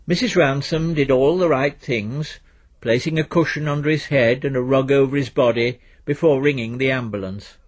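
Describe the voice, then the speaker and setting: Man narrating a story, bit of husk in the voice, normal mid pitch. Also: moderate pace. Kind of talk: scripted literary narration with voiced dialogue.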